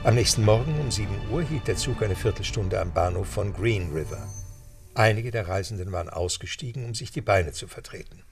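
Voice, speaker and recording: A man narrating an audio drama in a measured, scripted storytelling style. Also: lowish voice, creaky, over music.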